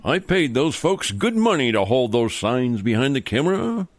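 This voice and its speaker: An actor playing a smug, corrupt, middle-aged senator in a comedy radio play, boastful and pompous. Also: male voice, mid-range voice, very animated, normal speed, no room sound, natural voice. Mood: gloating. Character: smug, corrupt veteran male senator, scheming and self-satisfied. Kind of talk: comedy radio theater acting.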